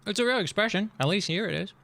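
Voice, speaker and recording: Man in his thirties, streamer, gravelly edge, tense bright tone, rambling casual chat. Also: high-pitched, lively intonation, fast. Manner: rambling, jokey, swings loud and soft. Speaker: streamer reacting to a video.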